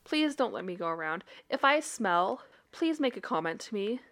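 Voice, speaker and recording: A blunt woman co-hosting a casual podcast, who swears freely and laughs as she talks. Her voice sits at an ordinary pitch for a woman. She speaks with the frankness of a therapist chatting with a friend. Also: big pitch swings, moderate pace, fairly bright tone. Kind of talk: casual chat.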